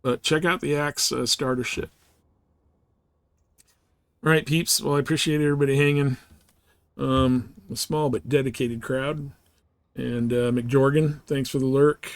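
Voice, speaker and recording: A man's voice: mid-range voice, fairly even intonation, measured pace, pauses often, no room sound. A man chatting casually and informally as he hosts a live game stream, rambling in slangy language.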